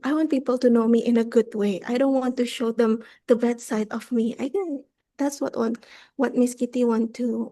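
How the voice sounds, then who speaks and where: A woman's voice: a little high, dark tone. A woman moderating an online youth forum over a video call, talking hesitantly with many fillers.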